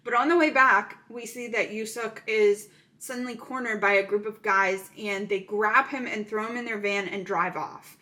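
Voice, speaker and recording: A woman's voice: mid-range voice, steady tone, normal speed, sharp tone. A woman casually recapping a thriller movie plot, chatty and full of "um" fillers.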